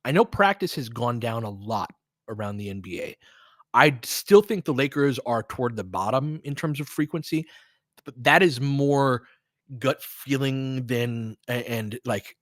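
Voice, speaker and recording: A man's voice: mid-range voice, normal speed, fairly bright tone, no echo. Casual man calling basketball, dry close sound. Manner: conversational, mildly profane, trailing asides. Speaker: basketball play-by-play host and coach. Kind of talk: casual live game commentary and chat with listeners.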